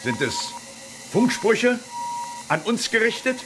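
An actor playing an impatient, grumbling general in a children's sci-fi radio drama, with an emphatic, commanding delivery. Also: male voice, very high-pitched, very animated, takes it slowly, loud music behind the voice, exaggerated voice, rough recording. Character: impatient, blustery male general, bold and grumbling.

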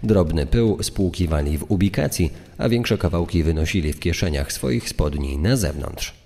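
A man's voice: low voice, lively intonation, moderate pace, creaky, mellow. A man reading scripted documentary narration in a true crime production. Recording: long reverb on the voice.

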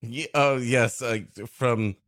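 A man's voice: mid-range voice, steady tone, slow pace, fairly bright tone, no echo, clean studio sound. A man co-hosting a comedy podcast, riffing casually with joking interjections.

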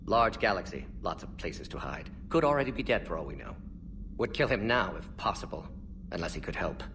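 A brisk older alien scientist from a sci-fi game. His voice is low and swings animatedly, with clinical, telegraphic phrasing that drops small words. He sounds a little distant over faint noise. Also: big pitch swings. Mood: grimly matter-of-fact. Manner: clipped telegraphic sentences dropping articles. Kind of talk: video game dialogue.

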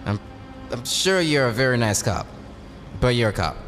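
A man's voice: medium pitch, lively intonation, moderate pace, fairly bright tone, lightly acted. An actor playing a wary young mechanic in an audio drama, guarded and dismissive in his talk. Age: young adult. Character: wary young male mechanic, distrustful of police. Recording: long reverb, music underneath.

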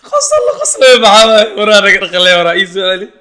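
A young man with a high, lively voice talks fast as he reacts to a clip, swinging up and down in pitch, laughing and mimicking the people in it. He speaks from a small home studio. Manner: animated, laughing, mimicking others. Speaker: comedy YouTuber. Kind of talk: reaction commentary.

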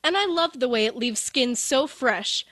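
A female movie reporter in the scripted style of 1950s radio. Her delivery is polished and gushing, in a fairly high voice with a dry recording. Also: expressive, moderate pace, a bit bright, no echo.

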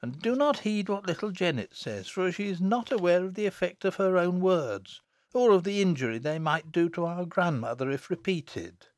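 A kindly young woman of a storybook, voiced by a man, pitched high and lilting widely. The manner is gentle and reassuring, that of a patient elder sister, recorded dry and close. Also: animated, moderate pace, no room sound. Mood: soothing. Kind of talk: audiobook character acting.

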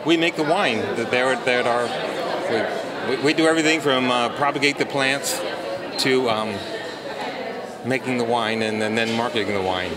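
A man's voice: medium pitch, unhurried. A man in his sixties, a winemaker, answering an interview hesitantly. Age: 60s. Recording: very loud crowd behind.

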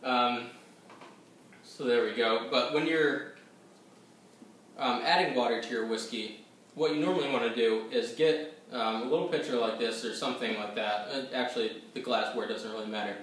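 A young man talks to the camera about a whiskey, rambling and hesitant, with many fillers and restarts. His voice sits at an ordinary pitch but rings in a very echoey room. He sounds a little distant from the mic, with faint ambient noise underneath. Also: normal speed, a bit bright. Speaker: whiskey reviewer. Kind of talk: casual tasting review to camera. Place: small room at home, seated at a table.

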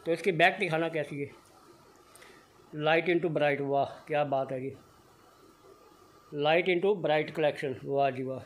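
Man in his own voice, fairly high-pitched, pushy sales hype, phone-camera sound in a shop. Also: steady tone, takes it slowly, pauses often, rough recording. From Punjab, India. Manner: upbeat, repetitive hard-sell patter. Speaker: shop video vlogger. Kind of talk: excited sales walkthrough. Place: indoor blanket shop.

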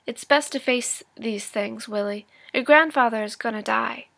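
A woman's voice: a little high, moderate pace, vocal fry, a bit bright. A woman voicing a blunt, practical country doctor in a storybook reading, speaking firmly and matter-of-factly.

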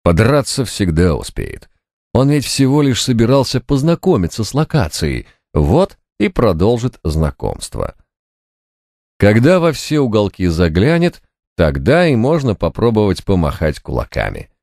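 Male narrator, low-pitched, lively intonation, scripted fiction reading, expressive storytelling, dry close studio sound. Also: unhurried, no echo. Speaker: audiobook narrator.

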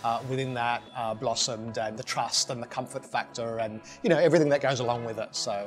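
A middle-aged man talks about his hairstyling work with real enthusiasm, stopping often on little fillers. His voice sits at an ordinary middle pitch, and light music plays behind him. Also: steady tone, fairly quick. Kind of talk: sit-down interview. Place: living room, indoors.

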